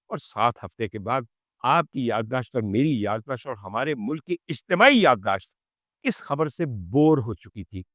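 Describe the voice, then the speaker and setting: A man giving an opinionated commentary to viewers, indignant and sarcastic, using rhetorical questions and pauses for emphasis. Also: medium pitch, a bit bright, no room sound, clean studio sound. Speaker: commentary show host.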